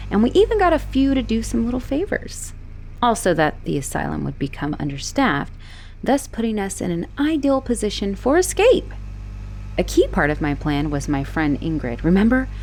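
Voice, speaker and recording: A woman playing an obsessive, manipulative young woman who escaped an asylum, in a teasing, expressive roleplay monologue spoken straight to the listener. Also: fairly low pitch, slight background noise. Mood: smug, scheming. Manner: teasing, possessive, expressive, swinging between sweet and exasperated. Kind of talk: dramatic roleplay audio monologue addressed to the listener.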